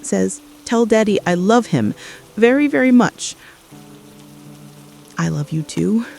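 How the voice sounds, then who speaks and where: A woman playing an anxious, loving wife and mother in a scripted radio drama, speaking haltingly and intimately, as if pleading. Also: mid-range voice, expressive, creaky, darkish tone, soft background music, heavily acted.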